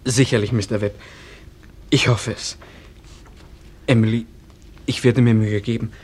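A man's voice: lowish voice, steady tone, a little slow, a little mellow, slight background noise, lightly acted. A young man playing an earnest bridegroom in a radio play, speaking simply. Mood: earnest, tender. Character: earnest young bridegroom pledging his love. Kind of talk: German radio play acting.

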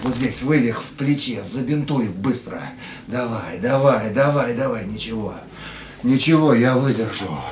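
A man's voice: mid-range voice, measured pace, lightly acted. A male actor playing an injured, stubborn racing driver in a radio play, impatient, urgent and driven. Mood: pained, impatient. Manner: urgent, agitated, barking orders. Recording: roomy, distant, loud vehicle noise.

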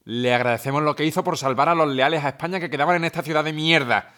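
A man's voice: fairly high pitch, fast, bright, forceful tone. A man playing a contemptuous, menacing military officer in a historical audio drama, commanding and scornful. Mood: cold contempt.

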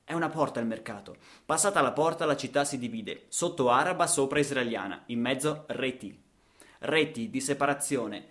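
A man's voice: mid-range voice, moderate pace, a bit bright. Male actor for a radio theatre monologue; rhythmic, dramatic storyteller delivery. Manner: dramatic, rhythmic delivery with repetitions and rhetorical questions.

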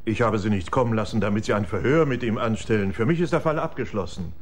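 An actor playing a haughty, irritable well-to-do gentleman with something to hide in a German radio crime drama. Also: fairly low pitch, animated, fast talker, slight background noise, lightly acted. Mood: imperious. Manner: imperious, agitated, emphatic.

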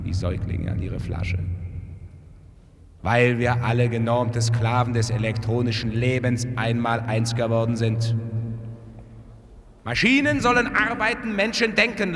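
A fervent rebel musician rallying his devotees in a science-fiction radio play. His gravelly voice delivers impassioned oratory at a medium pitch, ringing through a long hall reverb over heavy noise. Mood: bitter, urgent. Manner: impassioned oratory, swinging from hushed to shouted. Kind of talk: German science-fiction radio drama speech.

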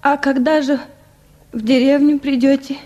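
An actress in a radio drama playing a meek, lovelorn peasant wife, plaintive and halting in her speech. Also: high-pitched, little pitch movement, dark tone, muffled recording, close to an everyday voice. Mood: sad, timid. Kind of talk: Russian radio drama acting.

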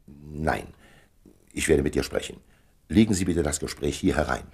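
A middle-aged statesman in a radio play, dutiful and a little vain. His voice sits very low but moves expressively. He speaks with dry, clipped urbanity and a weary edge. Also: expressive, normal speed, gravelly, a little mellow, exaggerated voice. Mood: curt, self-important. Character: weary, dutiful, self-important 56-year-old official in foreign affairs. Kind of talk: German radio play acting.

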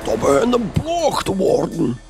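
A man's voice: high-pitched, wildly swooping pitch, a little slow, over loud music, exaggerated voice. An actor playing a pompous village man in a comedy radio drama, speaking floridly. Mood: pompous, admiring.

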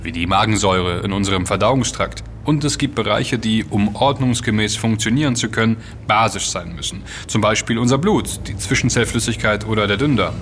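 An actor playing a serious, inquisitive, well-read investigator in an audio drama, speaking articulately. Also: male voice, on the low side, fairly quick, hardly any pauses, fairly bright tone, a little hiss or hum. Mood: serious, explanatory. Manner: articulate, explanatory. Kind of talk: German audio drama dialogue.

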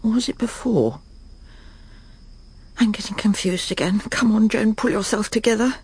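A woman's voice: mid-range voice, lively intonation, moderate pace, a little mellow, breathy edge. An actress in a radio drama playing a proper, judgmental English wife and mother with a clipped, haughty manner. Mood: musing, unsettled. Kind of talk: BBC radio drama acting.

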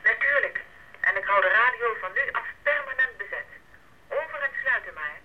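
A woman's voice: fairly high pitch, lively intonation, normal speed, close to an everyday voice. An actress playing a level-headed woman operating the radio at a mission post in a radio play. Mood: calm, reasoning. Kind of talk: Dutch radio drama acting. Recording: dull, lo-fi radio play recording.

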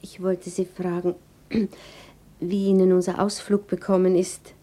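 A woman's voice: fairly low pitch, flat delivery, a little slow, warm tone. An actress playing a nervous, yearning woman who murmurs her thoughts hesitantly in a German radio play. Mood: timid. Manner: hesitant, breathy, murmuring to herself. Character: Bertha, a nervous, yearning woman thinking aloud. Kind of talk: German radio play acting, intimate inner monologue.

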